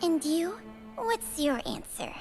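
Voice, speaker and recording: A woman's voice: very high-pitched, sing-song, takes it slowly, heavily acted. A woman voicing an ancient female snake spirit in a fantasy video game, world-weary, knowing and wryly teasing. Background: music.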